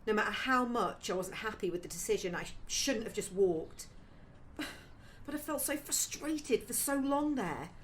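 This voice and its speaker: A woman's voice: medium pitch, lively intonation, normal speed, a little hiss or hum, close to an everyday voice. An actress playing a frustrated, apologetic career woman in her thirties in a naturalistic audio drama, speaking hesitantly. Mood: weary, frustrated.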